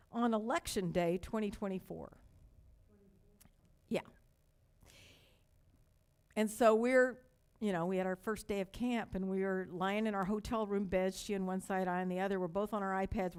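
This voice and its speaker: An older woman pastor from Texas with a light Texas accent, preaching a sermon with slow, emphatic repetition and storytelling asides. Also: fairly high pitch.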